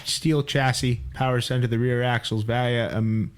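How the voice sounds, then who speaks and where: A man's voice: mid-range voice, fairly even intonation, moderate pace, clear, resonant tone. Male podcast co-host, mock radio-announcer read, some stumbles. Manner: mock announcer delivery when reading, stumbles on words. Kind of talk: casual chat, reading an auction listing aloud.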